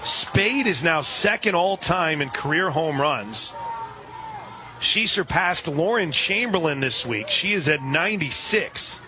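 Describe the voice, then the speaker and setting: A man calling a softball game live on radio, steady between pitches and surging loud and high on big plays. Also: slow, over music, low-quality recording. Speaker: radio play-by-play announcer.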